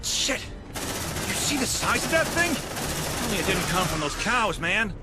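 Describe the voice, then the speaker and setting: Animated space marine grunt. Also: male voice, high voice, unhurried, loud music behind the voice. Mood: gruffly alert. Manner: urgent, informal. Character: gung-ho, rough-talking male space marine grunt. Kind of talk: scripted video game combat dialogue. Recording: loud gunfire and battle noise behind.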